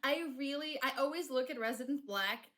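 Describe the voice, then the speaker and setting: A woman's voice: high voice, a little fast, a bit bright. A woman whose first language is Polish, chatting casually on a live stream with many fillers and restarts. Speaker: streamer and fanfic writer.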